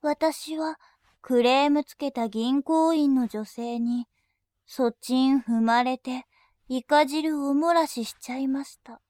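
A woman voicing a composed female bank clerk who speaks in very formal, deliberate language and turns dominating and scornful. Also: sits high, darkish tone, no echo, clean studio sound. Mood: polite teasing. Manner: very formal polite speech, slow and deliberate. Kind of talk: adult audio drama acting.

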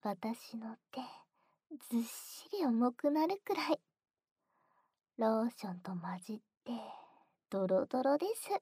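A teasing young woman, a junior to the listener, as an actress plays her, talks slowly and breathily and often drops to a close whisper. She giggles between lines. The sound is dry and intimate, with no room around her. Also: fairly high pitch, expressive, warm tone, husky, no echo, full character voice. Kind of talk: whispered ASMR roleplay.

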